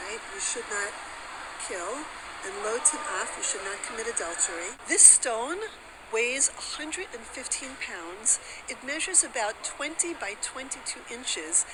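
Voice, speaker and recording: A woman's voice: fairly high pitch, heavy background noise. A woman giving an explanatory presentation as a specialist showing an artifact.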